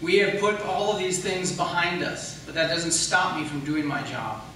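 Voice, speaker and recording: Echoey, bright-voiced man debating from a distance. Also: a little high, normal speed. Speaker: political candidate, incumbent county attorney. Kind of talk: campaign debate speech. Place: public debate in an echoey indoor room, mic at a distance. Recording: echoey room, distant mic, faint noise.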